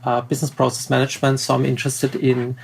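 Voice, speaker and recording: A middle-aged man with a German accent answers as a podcast guest. His voice is gravelly with a husky edge, and he talks in bursts with plenty of fillers and restarts, at an ordinary pitch. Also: completely flat delivery, fast talker. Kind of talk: podcast interview.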